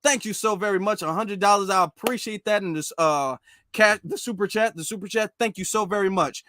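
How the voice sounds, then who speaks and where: High-pitched man, emphatic commentator. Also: fairly quick, pushed and bright, a little hiss or hum. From United States. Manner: emphatic, repeats phrases for stress. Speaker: live stream commentator. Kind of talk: informal political commentary to an online audience.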